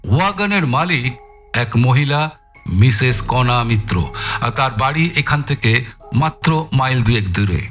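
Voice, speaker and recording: An actor playing a brusque, menacing, sarcastic police inspector in an audio drama, needling with emphatic, taunting politeness. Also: male voice, mid-range voice, lively intonation, measured pace, a bit bright, lo-fi sound, faint music behind. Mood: smug, pointed.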